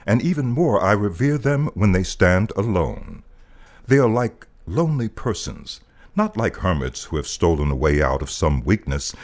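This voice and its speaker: A male voice-over artist giving a solemn, dramatic reading of poetic prose, with deliberate and theatrical emphasis. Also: low-pitched, darkish tone. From United States.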